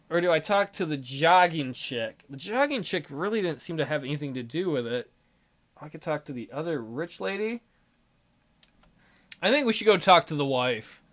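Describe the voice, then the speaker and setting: A woman doing a game playthrough, reading text aloud and adding wry, sarcastic asides. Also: low voice. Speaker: let's player. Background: game music.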